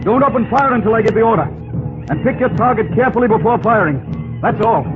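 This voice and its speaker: Animated frontier army captain, tinny radio. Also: male voice, high-pitched, brisk. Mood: commanding. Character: expedition captain, commanding, watchful frontier officer. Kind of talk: old-time radio drama acting. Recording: tinny old radio recording, music behind.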